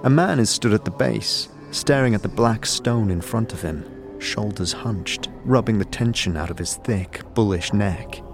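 A man's voice: fairly low pitch, unhurried, few pauses. A man narrating a scripted fantasy story as a storyteller. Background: music.

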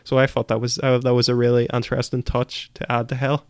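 A man's voice: medium pitch, monotone, fast, a little mellow, clean tone, no echo, clean studio sound. A man co-hosting a casual book discussion, giving opinions in hesitant phrasing with repeated words. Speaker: podcast co-host.

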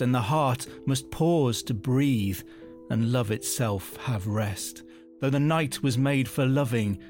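Wistful male Romantic poet, performed by an actor, measured solemn verse reading, mid pitch, music underneath. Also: darkish tone, lightly acted. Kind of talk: poetry reading.

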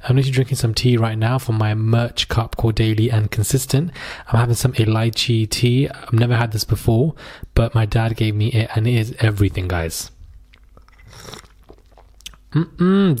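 A man's voice: medium pitch, moderate pace, a little mellow. A man hosting a podcast, talking in an informal, emphatic and conversational way about social issues. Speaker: podcast host.

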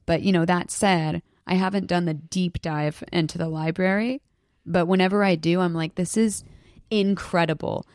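A young woman from Southeast Alaska, a podcast guest chatting casually and excitedly, often laughing as she tells stories. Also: low-pitched, clean tone, dry recording, studio quality. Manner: excited, storytelling, breaks into laughter. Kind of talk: casual chat.

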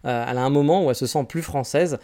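Fast-talking French man in his forties. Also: medium pitch, warm tone. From France. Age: 40s. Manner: candid, rambling advice with frequent restarts. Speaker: podcast host. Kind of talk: casual solo podcast answering listener questions.

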